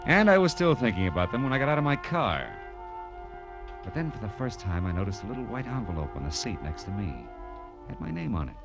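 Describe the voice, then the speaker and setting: An American actor playing a wry, world-weary private detective in an old radio drama, with slangy, clipped delivery. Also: male voice, low voice, muffled recording. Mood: reflective. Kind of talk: 1940s-50s radio detective acting, first-person narration. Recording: vintage radio broadcast with music.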